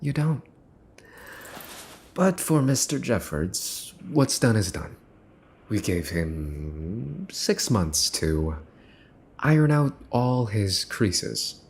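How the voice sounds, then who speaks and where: A man's voice: on the low side, very animated, very slow, pauses often, a little mellow, heavily acted. A man playing a mafia boss's son in an ASMR roleplay, smug, teasing and menacing, with a mocking theatrical drawl. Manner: mocking, theatrical drawl with mock-cute wording. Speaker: voice actor.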